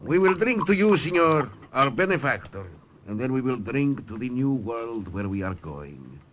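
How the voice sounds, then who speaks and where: Suave, swooping, haughty Italian gentleman. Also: medium pitch, very animated, moderate pace. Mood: grandly genial. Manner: courtly, smooth, condescending. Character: suave, haughty older Italian fascist gentleman with grown daughter. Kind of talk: old-time radio drama acting. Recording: vintage radio broadcast, faint noise.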